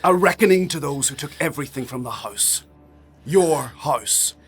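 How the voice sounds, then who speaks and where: A man voicing an ancient, imperious spirit mentor in a dark fantasy audio drama, husky and commanding, with formal, condescending speech. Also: on the high side, expressive, normal speed, soft background music. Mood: wrathful.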